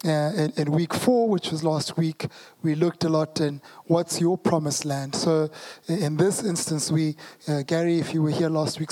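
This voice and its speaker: A preacher with a South African accent, giving a sermon in a conversational manner with joking asides, the voice hoarse and partly lost. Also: mid-range voice, a little mellow, rough recording. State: hoarse, losing voice.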